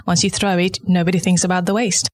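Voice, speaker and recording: A woman's voice: lowish voice, flat delivery, fast talker. A woman from eastern Nepal with a Nepali accent, answering interview questions in an explanatory, outspoken, conversational way. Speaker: interview guest, company founder.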